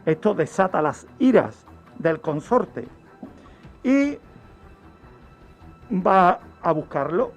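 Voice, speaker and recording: A man tells true-crime history on radio in a didactic way, with dramatic pauses and rhetorical questions. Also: high-pitched, gravelly. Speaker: criminologist, radio segment contributor. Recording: dull lo-fi radio recording with background music.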